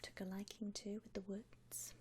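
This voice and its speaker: A woman whispering breathily in an ASMR roleplay as a polite shop assistant, gently reassuring a customer with hesitant, accommodating patter. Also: mid-range voice, little pitch movement, normal speed. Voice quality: breathy, mostly unvoiced whisper. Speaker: ASMR roleplayer playing a coffin shop saleswoman.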